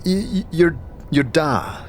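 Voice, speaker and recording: A man's voice: on the high side, animated, takes it slowly, slight background noise. A man playing a scarred, reserved but sympathetic wanderer who asks brief questions in a fantasy audio drama. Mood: concerned.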